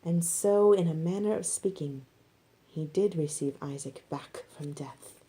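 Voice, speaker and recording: A woman reading scripted narration as expressive Bible storytelling. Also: sits low, a little slow.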